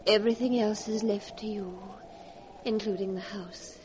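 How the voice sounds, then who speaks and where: An actress playing a possessive dying wife with a menacing streak, speaking slowly and dramatically in a 1940s radio horror play. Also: female voice, mid-range voice, fairly even intonation, lightly acted. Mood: solemn, coaxing. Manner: slow, dramatic, insistent. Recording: noisy 1940s radio broadcast.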